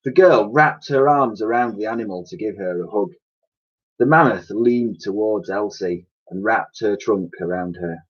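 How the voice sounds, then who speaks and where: A man reading a children's storybook aloud in an expressive, dramatic way, with the odd stumble. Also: on the low side, normal speed, breathy edge. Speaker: primary school teacher reading aloud. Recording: dull lo-fi home recording.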